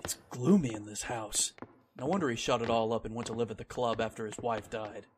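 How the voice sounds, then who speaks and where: A man's voice: medium pitch, a little fast, background noise, lightly acted. A man playing an anxious, uneasy employee in an old-time radio drama, speaking in a worried, hesitant way. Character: anxious, uneasy male employee searching his employer's gloomy house.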